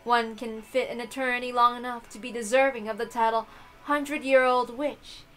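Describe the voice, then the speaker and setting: Higher-pitched woman reading aloud over music. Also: steady tone, moderate pace, a bit bright, low-quality recording. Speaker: reader of a visual novel playthrough. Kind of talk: expressive read-aloud narration with casual asides.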